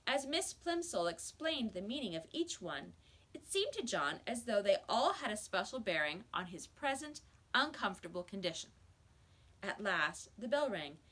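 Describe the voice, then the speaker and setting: A middle-aged woman reading a children's book aloud in a measured, expressive way, indoors in a small room. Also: medium pitch, husky. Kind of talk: children's storybook read-aloud. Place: small school office or library room, indoors.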